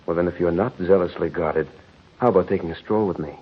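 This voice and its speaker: Low-voiced romantic suitor, muffled radio. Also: male voice, fast, husky. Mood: flirtatious. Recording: muffled noisy 1940s radio recording.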